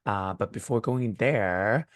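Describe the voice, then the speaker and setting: A man whose first language is Cantonese, co-hosting a casual podcast chat in a halting, soft-spoken way with frequent restarts. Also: fairly low pitch, dry recording, studio quality. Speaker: podcast co-host. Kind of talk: casual conversation.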